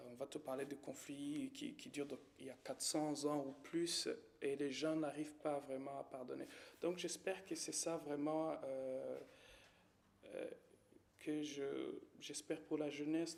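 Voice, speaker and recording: A man from Rwanda, giving a halting, hesitant personal testimony full of pauses and fillers. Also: a little high, creaky, darkish tone. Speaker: witness.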